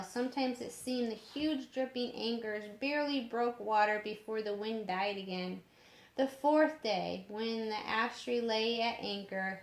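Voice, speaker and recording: A woman reading a story aloud in a measured way, sometimes stumbling over harder words, in an echoing room. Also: a little high. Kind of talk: storybook narration. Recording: roomy echoing home recording.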